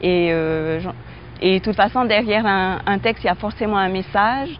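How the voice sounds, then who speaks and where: A woman from French Polynesia, a teacher and writer, speaking reflectively in an interview with frequent pauses, fillers and restarts. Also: mid-range voice, highs cut off, a little hiss or hum. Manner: hesitant, many fillers and restarts.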